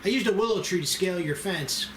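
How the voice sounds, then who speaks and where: A man's voice: sits high, quick pace, lightly acted. A man playing a self-assured, wry special agent from child services in an amateur screenplay table read. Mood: breezy, assured. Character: cocky, unflappable male federal child services special agent. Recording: roomy echo with clear noise.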